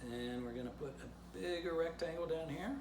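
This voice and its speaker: A man's voice: on the high side, normal speed. A man presenting to children in a simple, informal way, as an author reading and teaching. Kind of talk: children's story reading and casual drawing lesson. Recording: roomy echo, clear background noise.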